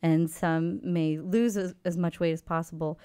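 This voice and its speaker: A woman talking as a guest sex therapist on a podcast, thoughtful and pausing with many ums as she explains. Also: fairly low pitch, fairly even intonation, mellow, clear, resonant tone, no room sound, studio quality. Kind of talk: podcast conversation.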